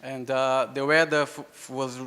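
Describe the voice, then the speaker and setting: A young man from Brazil with a Brazilian Portuguese accent, a Yawanawa speaker, giving a halting talk to an audience, repeating words and laughing often. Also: mid-range voice, level tone, bright, forceful tone, smooth. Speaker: invited speaker. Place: hall with an audience. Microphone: handheld microphone. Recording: hall reverb.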